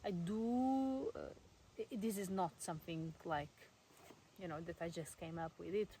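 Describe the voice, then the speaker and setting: A woman's voice: fairly low pitch, a little slow, slight background noise. Portuguese-accented woman chatting casually outdoors. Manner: chatty with frequent fillers and soft laughs. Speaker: vlogger. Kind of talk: casual talk to camera. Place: garden at home in the countryside, outdoors.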